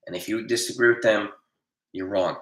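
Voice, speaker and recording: A man hosting a casual livestream, reading viewer comments and answering them bluntly, nasal from allergies and a cold and often clearing his throat. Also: lowish voice, steady tone, moderate pace, a bit bright, roomy sound, low-quality recording. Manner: blunt, argumentative, defends his opinions. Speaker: livestream host.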